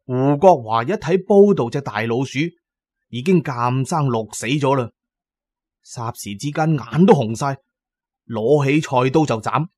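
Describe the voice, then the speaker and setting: A man with a Cantonese accent telling a story in a colloquial, expressive, dramatic narrating style. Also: medium pitch, unhurried, husky, no room sound.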